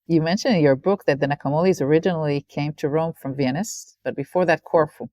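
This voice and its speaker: A woman hosting an interview, giving a warm introduction and asking direct questions. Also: sits low, quick pace, no room sound. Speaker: podcast host, interviewer.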